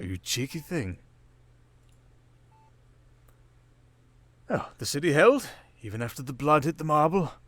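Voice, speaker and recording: A man playing a suave, cunning villain in an intimate ASMR roleplay, speaking in a silky, theatrical, teasing way with deliberate pauses. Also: mid-range voice. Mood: amused, teasing. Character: suave, cunning male criminal mastermind villain, seductive and teasing.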